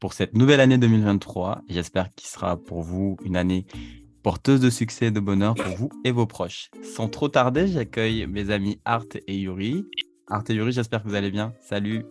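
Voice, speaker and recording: A man's voice: on the low side, normal speed, a little mellow, soft background music. A man hosting a casual podcast, welcoming listeners and guests. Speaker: podcast host.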